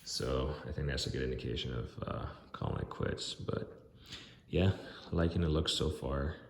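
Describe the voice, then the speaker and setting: A man talking through his garage tool-storage setup in a casual, halting way with many ums and restarts, his voice going hoarse, filmed on a handheld phone. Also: extremely low pitch, fairly even intonation, echoey room, noisy background. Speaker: DIY garage vlogger. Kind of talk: casual rambling walkthrough. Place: home garage. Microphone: handheld phone camera.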